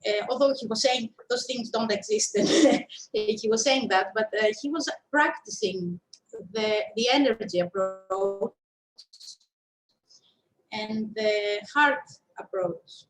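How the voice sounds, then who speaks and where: A woman's voice: on the high side, animated, slow pace, low-quality recording. A woman in her fifties with a Greek accent, telling her story as an interview guest in a thoughtful, hesitant way. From Greece. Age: 50s. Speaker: interview guest, shiatsu practitioner and teacher.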